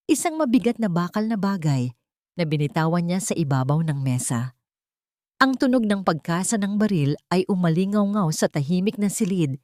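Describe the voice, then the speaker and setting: A woman's voice: deep voice, darkish tone, no echo, studio quality. A woman reading scripted, dramatic story narration as the narrator.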